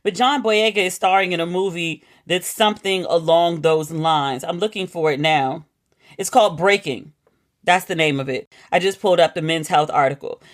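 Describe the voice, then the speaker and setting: A woman's voice: fairly low pitch, normal speed, no echo, clean studio sound. A woman hosting a podcast, chatting casually and playfully as she reads out quotes and news items. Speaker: podcast host. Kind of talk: casual chat.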